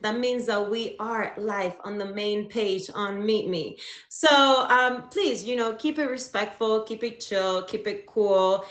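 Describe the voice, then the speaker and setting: A woman's voice: mid-range voice, slow pace, fairly bright tone. Upbeat woman hosting a livestream. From Cuba. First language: Spanish. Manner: upbeat, chatty, many fillers and restarts. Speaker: livestream talk show host. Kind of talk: casual livestream talk. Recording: echoey room.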